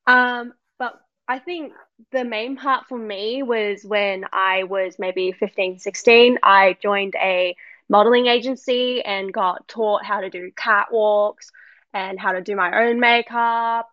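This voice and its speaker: An Australian woman chats casually as a guest on a podcast. Her voice sits at a middle pitch, and the recording is very dry and a little dull. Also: fairly even intonation, slow pace, dry recording. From China and Australia. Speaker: podcast guest, model and influencer. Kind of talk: casual chat.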